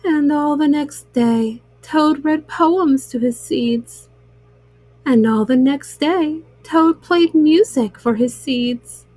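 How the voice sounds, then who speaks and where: A woman's voice: sits high, takes it slowly, a little mellow, dry recording. A woman storyteller reading a children's storybook aloud.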